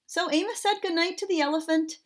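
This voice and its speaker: High-pitched woman reading a storybook. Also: brisk. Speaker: storybook reader for children. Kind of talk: expressive read-aloud narration of a picture book.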